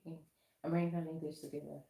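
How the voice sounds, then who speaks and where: A Nigerian woman from Oyo State with a Yoruba accent, whose first language is Yoruba. She chats casually and explains things slowly with examples. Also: deep voice, little pitch movement, gravelly, mellow. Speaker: salon owner. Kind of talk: casual chat. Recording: echoey room.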